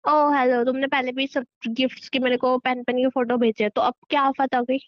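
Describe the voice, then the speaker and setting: A woman's voice: high-pitched, level tone, moderate pace, no room sound, natural voice. A young woman playing a bossy, teasing girlfriend in an acted phone-call drama, scolding sarcastically and mixing Hindi with English. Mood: sarcastic, impatient. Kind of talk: acted phone-call conversation between a couple.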